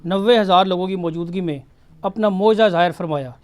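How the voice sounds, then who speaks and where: High-voiced man putting a question. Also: brisk. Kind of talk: reading out a prepared question.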